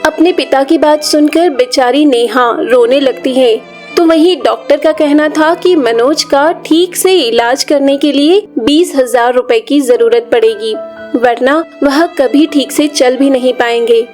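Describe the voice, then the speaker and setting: Female narrator for animated children's folk tales, high register, storytelling read over faint background music. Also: measured pace.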